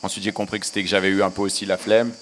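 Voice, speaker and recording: A man's voice: sits low, level tone, racing, a bit bright, in a large hall, slight background noise. A middle-aged man, a journalist and author, answering interview questions in a reflective, self-deprecating way.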